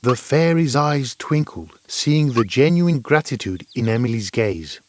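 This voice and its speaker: A man's voice: medium pitch, lively intonation, a little slow, slight background noise. A man narrating a scripted fairy tale in an earnest, dramatic storytelling manner.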